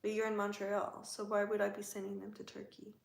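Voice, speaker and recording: A woman's voice: mid-range voice, completely flat delivery, brisk, creaky, reverberant. A woman hosting a casual livestream, chatting and teasing as she answers viewers' comments from home. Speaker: livestream host.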